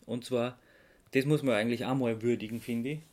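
A podcast co-host with a medium-pitched voice. The delivery is informal and conversational, explaining things in a relaxed chat between co-hosts. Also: fairly quick.

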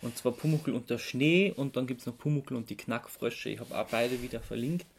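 A man with an Austrian accent co-hosting a podcast, explaining things casually in a somewhat halting way. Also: medium pitch, a crowd in the background. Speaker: podcast co-host.

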